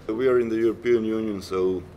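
A man's voice: lowish voice, fairly even intonation, brisk, lo-fi sound. A young man in a band, speaking casually in a documentary interview and searching for words. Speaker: band member. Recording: echoey room with faint noise.

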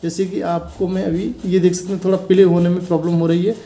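High-pitched young man giving a phone tutorial. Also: level tone, fast talker, dark tone. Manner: instructional, addressing viewers directly, with repeated check-in tags. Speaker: host of a phone tutorial channel. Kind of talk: casual step-by-step tech tutorial voice-over.

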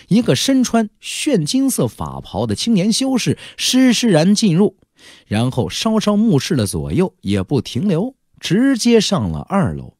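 A man's voice: medium pitch, darkish tone, breathy edge, no room sound, studio quality. Dramatic, swooping male audiobook narrator. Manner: theatrical, storyteller-like delivery with dramatic emphasis. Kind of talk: dramatic scripted narration of a fantasy novel. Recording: narration over faint background music.

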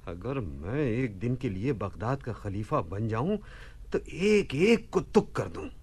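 Radio drama: hospitable, outspoken son, mid-pitched, very animated delivery. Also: male voice, slow, husky, exaggerated voice. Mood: indignant. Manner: courteous, animated, indignant about injustice. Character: hospitable, outspoken son of a widow, Abul Hasan. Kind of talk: Hindi radio play acting.

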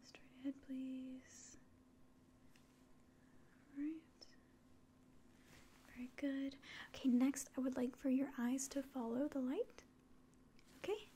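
A young woman whispers slowly and very softly, with a mellow, breathy tone. She speaks as a gentle doctor in an ASMR roleplay, hesitant and polite, close to the mic. A light layer of noise sits behind her. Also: sits high. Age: 20s. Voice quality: breathy whisper and very soft speech. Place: small indoor room at home.

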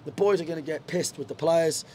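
A man's voice: fairly high pitch, brisk, darkish tone, a little hiss or hum. A middle-aged man, a football manager, talking frankly in a post-match interview in a stadium dugout, with lots of fillers and restarts. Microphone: handheld reporter mic.

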